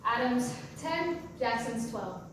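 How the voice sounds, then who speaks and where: A woman's voice: on the high side, lively intonation, slow, a bit bright. An actress playing a chatty, guarded divorced mother on a date in a naturalistic staged play reading. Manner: probing, playfully defensive questioning. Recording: distant mic in roomy echo, hissy noise.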